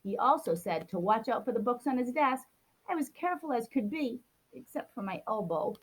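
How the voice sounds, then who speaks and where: Woman, high voice, storybook read-aloud, expressive, plays up the child's grumbles. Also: moderate pace, breathy edge, poor audio. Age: adult. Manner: expressive, acts out the child's complaints. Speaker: storybook reader. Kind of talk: reading a picture book aloud to children.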